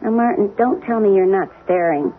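A woman's voice: medium pitch, expressive, brisk, natural voice. A woman playing a chatty, wry, nagging middle-aged wife in a 1970s radio drama. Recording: dull lo-fi 1970s radio recording, faint music.

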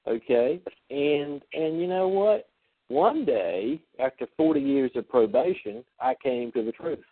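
A man hosting a spiritual discussion, animated and acting out the voices he quotes. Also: medium pitch, measured pace, dry recording. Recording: dry phone line.

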